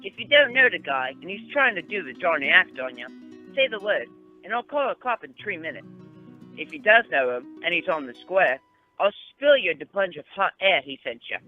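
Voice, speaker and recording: Man playing a high-voiced, brash street urchin. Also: big pitch swings, normal speed. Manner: brash, slangy. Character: eager, slangy street urchin boy messenger. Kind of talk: radio play acting. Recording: tinny narrow-band audio, faint music.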